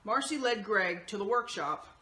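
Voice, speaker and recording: Bright-voiced woman reading to children. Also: medium pitch, animated, normal speed, reverberant. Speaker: children's story host and reader. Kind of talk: reading a picture book aloud to children.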